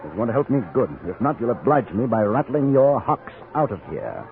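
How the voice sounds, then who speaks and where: An actor playing a composed, commanding British gentleman in a 1950s radio western, speaking in a formal, dry, courteous way. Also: mid-range voice, big pitch swings, fairly quick. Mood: firm, dry. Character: composed, commanding English gentleman adventurer in the Old West. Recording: muffled 1950s radio recording, music behind.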